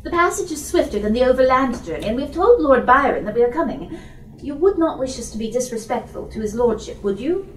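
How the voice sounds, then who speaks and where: A woman voicing a sensible, polite young married gentlewoman, composed and gently firm in a storybook reading. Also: high voice, sing-song, fast talker. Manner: composed, formal, coaxing. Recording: roomy bookstore reading, distant mic.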